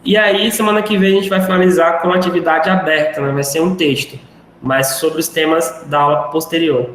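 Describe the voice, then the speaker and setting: A man's voice: on the high side, fairly even intonation, normal speed, a bit bright. A male teacher wrapping up a live online class in a chatty, rambling way. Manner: rambling, chatty, self-deprecating. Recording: very echoey room, faint noise.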